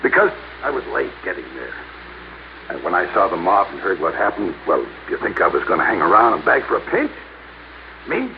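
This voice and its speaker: An actor playing a defiant, streetwise small-time crook with a sneering, belligerent manner in a 1950s radio crime drama. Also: male voice, deep voice, huge pitch swings, a little fast, gravelly, exaggerated voice. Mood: sneering, fed up. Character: cocky, defiant small-time male crook under questioning. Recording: muffled, hissy old-time radio recording.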